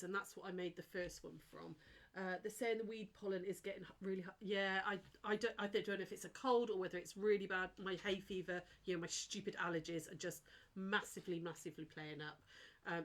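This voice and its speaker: A woman's voice: mid-range voice, fairly bright tone. A woman with a snuffly, blocked nose, hosting a casual live sewing tutorial and chatting with her viewers. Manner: chatty and apologetic, chats with live viewers while demonstrating. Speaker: sewing teacher hosting a live craft demo.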